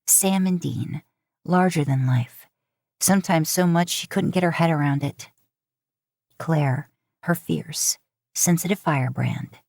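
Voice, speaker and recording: A woman's voice: low-pitched, unhurried, gravelly, pauses often, a little mellow, no room sound, studio quality. A woman reading a story as a scripted narration. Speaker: podfic narrator. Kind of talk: scripted narration of fan fiction.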